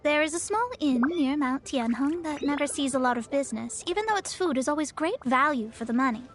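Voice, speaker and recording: A woman's voice: high voice, expressive, a little fast, faint music behind. A woman playing a proud young astrologist in a video game, speaking in a formal, grandiose and theatrical way. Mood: pleased, composed. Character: proud, self-assured young female astrologist. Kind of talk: English video game voice acting.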